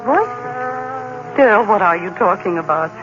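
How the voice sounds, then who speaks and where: A woman's voice: mid-range voice, big pitch swings, normal speed, lightly acted. An actress playing a devoted, concerned sweetheart of a troubled man, solicitous and coaxing in a theatrical 1930s radio drama manner. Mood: alarmed. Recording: muffled, hissy 1930s radio recording.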